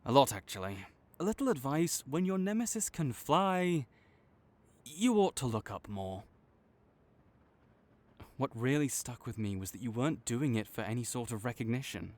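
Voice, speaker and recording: A man playing an arrogant, teasing supervillain rival with a soft spot, speaking theatrically to the listener in an audio roleplay. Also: mid-range voice, sing-song, moderate pace, natural voice. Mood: reluctantly tender. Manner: smug, teasing, theatrical with sighs. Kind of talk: dramatic roleplay monologue spoken to the listener.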